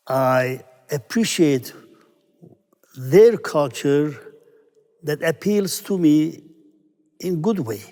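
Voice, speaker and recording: A man in his eighties from Syria, a priest answering interview questions in English, unhurried and searching for words. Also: on the high side, darkish tone. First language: Armenian. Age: 80s. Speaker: priest, church abbot. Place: large stone church. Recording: long church reverb.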